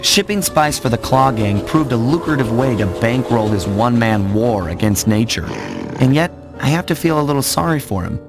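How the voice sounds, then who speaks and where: A man's voice: medium pitch, lively intonation, normal speed, natural voice. An actor playing a suave, wry young male thief, narrating his capers in a cartoon game. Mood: wry storytelling. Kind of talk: scripted narration. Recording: loud music behind narration.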